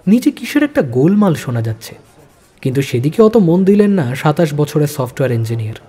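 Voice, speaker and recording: A man's voice: on the high side, sing-song, fast, warm tone, slightly rough. A man narrating a spy thriller audio story in a dramatic, suspenseful manner.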